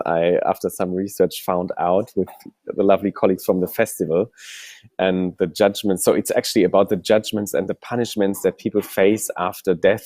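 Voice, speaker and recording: Deep-voiced man moderating. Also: mellow, no echo. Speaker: forum moderator. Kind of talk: panel discussion questions.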